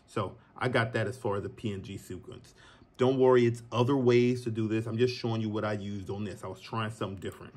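A man in his thirties giving a relaxed, chatty software tutorial from his home office, recorded on a phone and talking to viewers as y'all. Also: on the low side. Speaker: filmmaker teaching a tutorial. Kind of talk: casual screen-recorded tutorial. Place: green screen studio, then home office.

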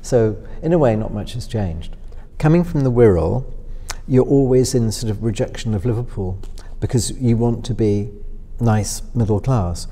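A middle-aged man from Northwest England with a softened upper-middle-class accent, musing through interview answers with many fillers and restarts. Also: mid-range voice, moderate pace. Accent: upper-middle-class Northwest English softened by London. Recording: voice with long hall reverb.

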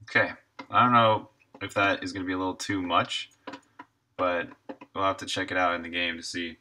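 A man in his thirties with a deep, crisp-edged, bright voice. He talks casually to himself as he works, thinking aloud in short, broken-up phrases like a developer on a live stream. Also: flat delivery, normal speed, slight background noise. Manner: half to himself, in short fragments. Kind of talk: casual think-aloud commentary while working.

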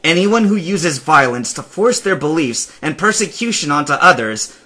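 A man reading a story aloud, voicing a calm, stern hooded teenage stranger in his own voice. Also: a little high, moderate pace, husky, pitched above the performer's own voice, full character voice.

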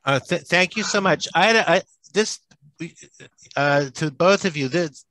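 Bright-voiced moderator on a headset. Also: male voice, on the high side, measured pace, dry recording. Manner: stumbling, restarting question. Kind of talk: questions on a video call. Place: office with plants.

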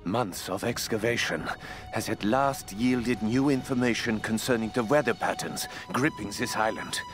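An actor playing a controlled Japanese military scientist, dictating a formal, measured wartime report for a video game. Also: male voice, medium pitch, close to an everyday voice. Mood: grave satisfaction.

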